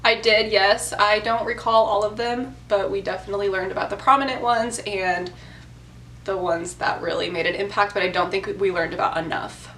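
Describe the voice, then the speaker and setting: A woman in her twenties who answers interview questions in a measured, deliberate way. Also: mid-range voice, fairly even intonation, fairly bright tone, a little hiss or hum. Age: 20s.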